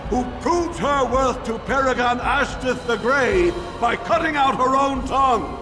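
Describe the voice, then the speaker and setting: A man's voice: very high voice, a little slow. An actor playing a grandly ceremonial male dwarf arena master in a fantasy game, announcing fights in formal, declamatory style. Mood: grand, rousing. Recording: long hall reverb, music behind.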